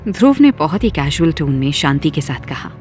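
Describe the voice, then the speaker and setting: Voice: medium pitch, very animated, brisk, darkish tone, faint music behind. A narrator reading a scripted dramatic story.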